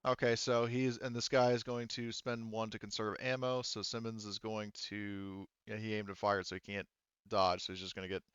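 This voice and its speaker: A man running a tabletop roleplaying game as game master, narrating and refereeing in a halting, think-aloud way. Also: lowish voice, little pitch movement, fairly bright tone, clear, resonant tone, lo-fi sound.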